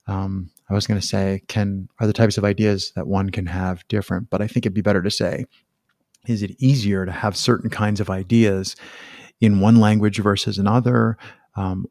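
Male podcast host, lower register, curious and rambling, dry close sound. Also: a little mellow, dry recording. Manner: curious, rambling, self-correcting. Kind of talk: casual interview conversation.

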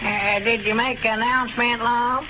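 A man's voice: very high-pitched, level tone, moderate pace. An actor playing an addled, literal-minded older rustic man with a rural Southern accent, folksy and dithering, in 1930s radio comedy. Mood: puzzled, agreeable. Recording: scratchy, tinny 1930s radio broadcast recording.

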